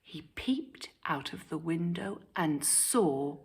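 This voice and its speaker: A woman's voice: low voice, animated, takes it slowly, vocal fry. A woman with a British accent reading a children's story aloud with animation, turning aside to question her young listeners. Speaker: primary school storyteller.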